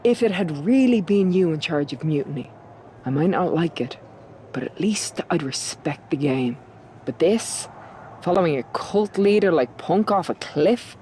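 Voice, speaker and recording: A woman's voice: fairly low pitch, animated, a little slow, warm tone, slight background noise. A woman playing a determined, sly Irish pro wrestler in a video game story scene. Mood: earnest, pleading.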